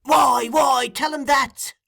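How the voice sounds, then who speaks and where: A man voicing a cunning, raging old male crime boss in an audiobook, insistent and feverish, building to shouts. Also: very high-pitched, normal speed, vocal fry, husky, pitched above the performer's own voice, full character voice. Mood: frenzied. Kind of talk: audiobook character acting.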